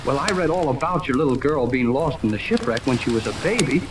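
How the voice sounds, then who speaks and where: A man's voice: medium pitch, animated, fast talker, lightly acted. A young man playing an eager, indignant teenage boy with slangy, earnest talk in a 1930s radio serial. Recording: noisy, distant 1930s radio recording, rain behind.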